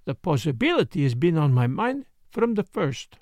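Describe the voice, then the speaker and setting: A man's voice: on the high side, big pitch swings, a little mellow, no echo. A man voicing a shrewd, dapper foreign detective who speaks courteously and precisely, with dry formality, in an audiobook mystery. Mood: calm, assured. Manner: formal, precise, measured phrasing with French interjections.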